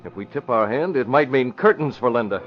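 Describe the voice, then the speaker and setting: A man plays a young newspaper boss, earnest and decisive, in a vintage radio drama. He speaks with brisk authority in a middling pitch, on a slightly dull old recording. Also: lively intonation, soft background music, natural voice. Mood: urgent. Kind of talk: 1950s radio drama acting.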